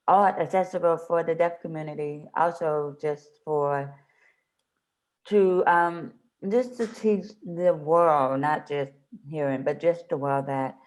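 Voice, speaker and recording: A woman's voice: low-pitched, a little mellow, rough recording. A woman introducing herself as a panelist, speaking emphatically and repeating her phrases for weight. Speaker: panelist, dancer.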